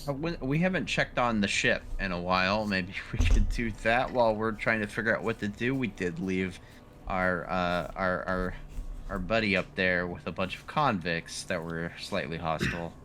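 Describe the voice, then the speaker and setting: Lowish-voiced man at a game table. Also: normal speed, sharp tone. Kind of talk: casual game-table chat. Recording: clearly noisy tabletop session recording.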